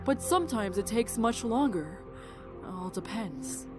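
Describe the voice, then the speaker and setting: A woman playing a frank, caring camp doctor in an audio drama, earnest and gentle with some hesitation. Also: mid-range voice, animated, a little slow, over music, close to an everyday voice. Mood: grave, sympathetic.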